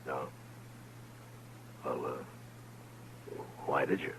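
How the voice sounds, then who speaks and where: A steady American frontier marshal in an old radio western. His very deep voice has a gravelly creak, and he talks with measured courtesy. The vintage recording is noisy. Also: exaggerated voice. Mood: puzzled, reassuring. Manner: measured, polite. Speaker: radio actor.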